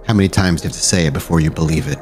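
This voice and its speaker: A man's voice: very deep voice, rapid-fire, darkish tone, faint music behind. A man voicing a dead vampire sire speaking from a mirror in a tabletop roleplay, needling and grandiose, pressing with rhetorical questions. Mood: challenging.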